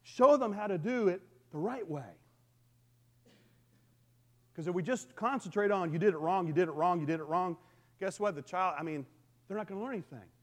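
A middle-aged man with a booming voice, preaching a conversational sermon to his congregation. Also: brisk, pauses often. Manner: lively, conversational preaching with call-and-response. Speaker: pastor.

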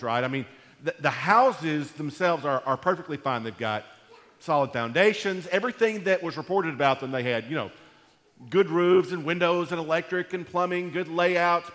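A man preaching to his church in a folksy, rambling way, his voice gone deep and congested from allergies. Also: fairly bright tone, long reverb tail. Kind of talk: sermon. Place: church sanctuary.

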